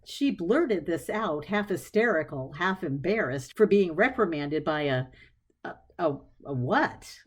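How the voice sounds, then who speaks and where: A woman narrating a scripted story as an expressive storyteller. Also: on the low side, unhurried, husky.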